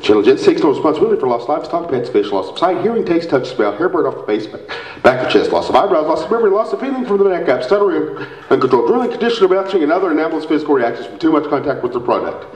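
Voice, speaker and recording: A man playing an emphatic pitchman in a parody old-time radio commercial performed on stage. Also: very animated, racing, a little mellow, muffled recording. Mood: rattling disclaimer. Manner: emphatic, repetitive sales patter. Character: mock radio pitchman selling weed killer. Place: theatre stage, indoors. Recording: roomy stage, distant microphone.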